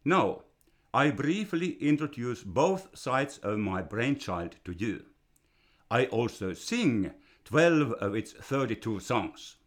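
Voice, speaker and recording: An older man from Finland with a Finnish accent, slowly reading a fairy tale he wrote for his grandchildren. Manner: slow and deliberate, with a lively, storybook pitch. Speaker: storyteller reading his own children's book.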